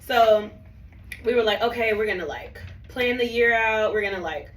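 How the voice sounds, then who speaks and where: Hoarse lady on a movie podcast, slangy, rambly, kinda far from the mic, roomy. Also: fairly high pitch, moderate pace, faint music behind. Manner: chatty, slangy, restarts a lot. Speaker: podcast co-host. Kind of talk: casual chat. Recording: roomy, a bit distant, faint hiss.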